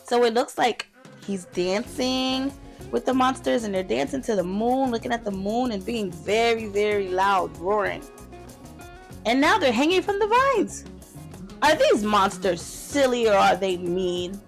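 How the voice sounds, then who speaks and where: Woman, high voice, slight creak, children's picture book read-aloud host, asks the listeners questions. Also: lively intonation, measured pace, faint music behind. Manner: addresses children with questions and asides.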